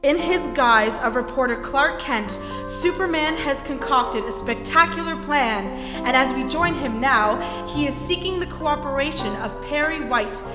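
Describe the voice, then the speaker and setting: A woman playing a theatrical old-time radio serial announcer with deliberate, dramatic phrasing. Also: high-pitched. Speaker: narrator. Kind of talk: scripted narration, 1940s radio style. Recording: echoey hall, music behind.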